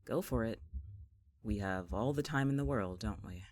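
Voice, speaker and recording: A man's voice: on the low side, normal speed, darkish tone, no room sound, lightly acted. Man playing a dry, sardonic young man. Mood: subdued, wistful. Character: sardonic, stubborn young man, quietly wounded. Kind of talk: naturalistic audio drama acting.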